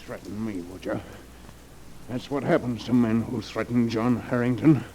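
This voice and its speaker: An actor playing an arrogant, scheming tycoon and killer in an old radio drama, domineering and theatrical. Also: male voice, mid-range voice, normal speed, mellow, lightly acted. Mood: cold gloating. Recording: noisy old radio recording, rain and thunder.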